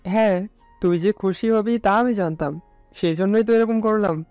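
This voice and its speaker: High, smooth, flirtatious college lad, acted. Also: male voice, moderate pace, a little mellow, no room sound, faint music behind. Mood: teasing. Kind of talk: romantic audio story acting.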